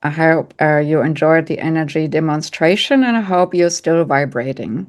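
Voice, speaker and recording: German-accented woman in middle age, soft lowish voice, calm, instructive webinar delivery in short repeated lines. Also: normal speed. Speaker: psychic medium and coach, guest speaker. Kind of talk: online workshop talk with audience questions.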